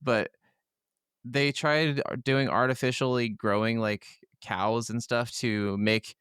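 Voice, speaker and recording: A man's voice: mid-range voice, steady tone, takes it slowly, fairly bright tone, clear, resonant tone, no echo, studio quality. A man co-hosting a casual gaming podcast, talking in an enthusiastic, profanity-laced way. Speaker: podcast co-host.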